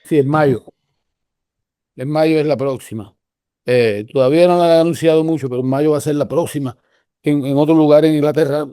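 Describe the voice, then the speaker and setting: An older man from Cuba with a Cuban Spanish accent, telling stories in a relaxed, rambling way with many restarts. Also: a little high, brisk, clear, resonant tone, no echo, studio quality. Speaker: guest researcher. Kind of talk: casual conversational storytelling.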